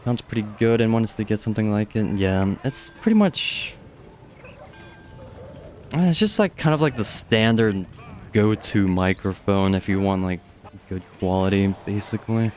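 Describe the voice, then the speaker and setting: A young man with a deep, low voice casually commentates a live strategy game match from his home streaming setup. His delivery is chatty and relaxed, with occasional offhand swearing. A faint ambient hum sits beneath the close studio microphone sound. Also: moderate pace. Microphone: studio dynamic microphone.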